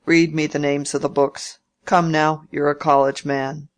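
A reader doing a gruff crafty old landowner. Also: mid-range voice, little pitch movement, measured pace, lightly acted. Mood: gruff bargaining. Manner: blunt country speech, bargaining and scolding. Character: grumbling old male landowner, crafty and domineering. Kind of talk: audiobook character reading.

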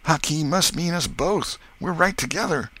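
An excitable young boy from a storybook, acted out by a man, bossy and superstitious. The voice jumps high above an adult register and has a husky edge. He talks in rustic dialect with breathless urgency. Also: normal speed, exaggerated voice. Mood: frightened.

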